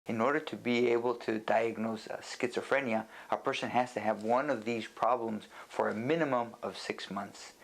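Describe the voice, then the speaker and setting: A man speaking as a doctor in an unscripted educational lecture, explaining things in plain words with a halting, deliberate delivery. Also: medium pitch, fairly even intonation, creaky, poor audio.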